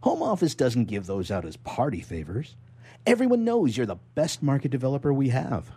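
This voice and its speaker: A man's voice: medium pitch, huge pitch swings, normal speed, dark tone, husky, voice pushed up, heavily acted. A man voicing a tactful, uneasy company lawyer soothing his boss in a short story read for radio. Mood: placating. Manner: placating, deferential. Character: tactful, anxious male legal counsel soothing his boss.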